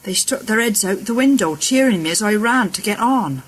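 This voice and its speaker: A middle-aged woman playing a fretful, tender village mother of a soldier in a radio play, with a husky voice. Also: medium pitch, expressive, moderate pace, lightly acted, poor audio. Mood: sorrowful.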